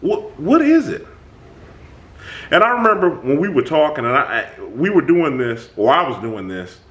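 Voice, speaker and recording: Host, male, booming voice, very animated intonation, talks to camera in an emphatic, reflective style. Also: moderate pace. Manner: animated, emphatic, many restarts. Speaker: channel host. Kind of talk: reflective self-talk monologue.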